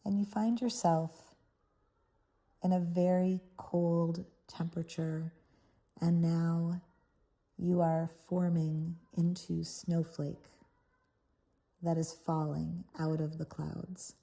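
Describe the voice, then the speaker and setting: A woman leading a guided visualization in a soothing, unhurried manner, leaving pauses between short phrases. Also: on the low side, flat delivery, creaky, dark tone. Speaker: guided meditation host. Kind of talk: guided meditation, soft guided visualization.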